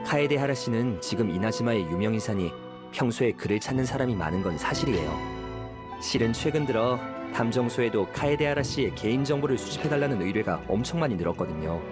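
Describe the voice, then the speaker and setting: An actor playing a friendly, eager young detective agency employee in an anime game, chatting politely with music behind him. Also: male voice, medium pitch, lively intonation, quick pace, natural voice. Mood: explanatory, polite.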